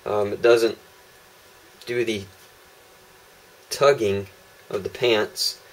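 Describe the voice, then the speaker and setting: A man's voice: lowish voice, steady tone, very slow, low-quality recording. A young man casually demonstrating holster prototypes on camera, rambling with lots of ums and uhs. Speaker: holster maker showing prototypes. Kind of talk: casual product demo vlog. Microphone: camera mic on a tripod.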